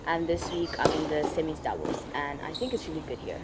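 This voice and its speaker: A woman's voice: deep voice, lively intonation, fairly quick, heavy background noise. A teenage girl from Zimbabwe giving thoughtful, um-laden answers in an outdoor court-side interview. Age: teens. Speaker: junior tennis player, guest.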